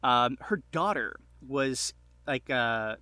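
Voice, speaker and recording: Bright-voiced man chatting, halting delivery. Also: medium pitch, animated, dry recording. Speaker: podcast guest. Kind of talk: casual chat, recounting film trivia.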